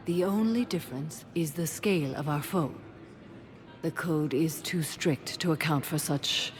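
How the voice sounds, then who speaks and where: A woman's voice: low-pitched, a little mellow, a little hiss or hum. An actress playing a stoic alien warrior-monk woman in a video game, serene, grave and formal. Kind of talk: cinematic video game acting. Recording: long hall reverb.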